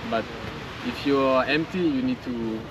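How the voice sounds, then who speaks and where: A man's voice: medium pitch, normal speed, highs cut off. A man in his thirties from France with a French accent, chatting casually as an interviewee. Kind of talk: casual chat. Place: outdoors by a beach. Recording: noisy outdoor beachside recording.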